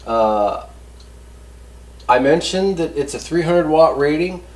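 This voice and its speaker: A man's voice: a little high, lively intonation, takes it slowly, a little mellow. A man giving a casual hands-on product review as a host, talking in a folksy, rambling, easygoing way. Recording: roomy echo, distant mic, background noise.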